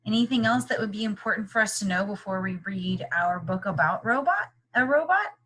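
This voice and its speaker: A woman's voice: mid-range voice, animated, brisk, fairly bright tone, slightly rough, rough recording. A woman teacher leading a read-aloud lesson for young children, with instructive, question-filled, encouraging phrasing.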